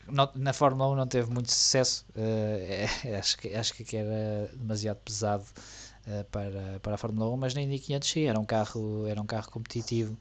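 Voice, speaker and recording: A man chatting casually as a co-host, relaxed and joking, with frequent fillers. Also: fairly low pitch, fairly quick. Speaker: co-host of a motorsport tech talk. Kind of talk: casual chat.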